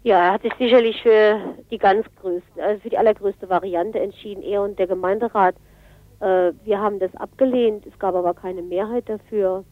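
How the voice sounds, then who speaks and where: A woman's voice: medium pitch, fairly even intonation, normal speed, no room sound. Phone-line woman giving a political assessment. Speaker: interviewee, local politician. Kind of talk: radio interview, spontaneous explanation.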